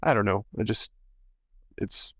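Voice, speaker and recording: A man's voice: lowish voice, unhurried, a bit bright, dry recording, studio quality. A male podcast host chatting casually and rambling, with many fillers. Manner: rambling, full of "you know" and "like". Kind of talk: casual interview chat.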